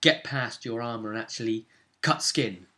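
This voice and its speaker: A man's voice: medium pitch, normal speed, pushed and bright. A man casually recapping a tabletop role-playing session as game master, chatty and often ending on tag questions.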